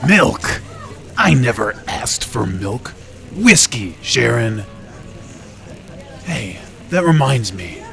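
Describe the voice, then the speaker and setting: An actor playing a gruff, brusque, boozy police detective in a 1920s old-time radio drama. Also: male voice, medium pitch, very animated, slow pace, long pauses, a bit bright, heavily acted. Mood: brusque, demanding.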